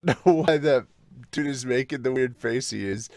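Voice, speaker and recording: A man hosting a podcast, chatting casually and excitably through a manga read-along, with some swearing. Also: medium pitch, normal speed, no room sound. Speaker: podcast host.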